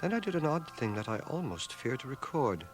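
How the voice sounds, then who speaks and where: An actor playing a dry, erudite older scholar in a radio drama, measured, precise and stoical. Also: male voice, mid-range voice, big pitch swings, over music, close to an everyday voice. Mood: grave, reflective. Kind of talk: radio drama acting and first-person narration. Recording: 1980 radio drama, home cassette transfer.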